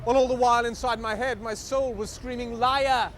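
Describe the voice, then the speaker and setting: High-voiced man reciting poetry, noisy background. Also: flat delivery, fast talker. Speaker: performance poet.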